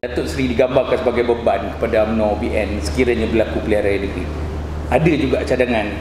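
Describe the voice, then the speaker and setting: A man's voice: lowish voice, fairly even intonation, quick pace. A man with a Malaysian accent, putting formal political questions as an interviewer. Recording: echoey room with clear background noise.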